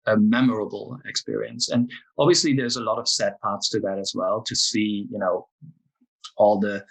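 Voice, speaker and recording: A man's voice: lowish voice, steady tone, normal speed, creaky, slightly rough, low-quality recording. A man in his forties from East Germany with a German accent, telling personal stories candidly and earnestly as a podcast guest. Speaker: podcast guest, music industry executive. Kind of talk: conversational personal storytelling.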